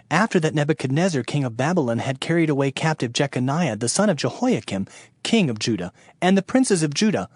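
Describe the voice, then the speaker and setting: A man's voice: on the high side, breathy edge, no room sound, studio quality. A man narrating a dramatized King James scripture reading in a solemn, emphatic, declamatory manner. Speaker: audio Bible narrator. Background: background music.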